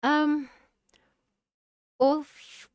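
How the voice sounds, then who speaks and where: A woman's voice: high voice, little pitch movement, extremely slow, dry recording, studio quality. A woman from Indonesia, an English speaker, answering interview questions hesitantly with many fillers. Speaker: guest, real estate investor. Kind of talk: casual interview.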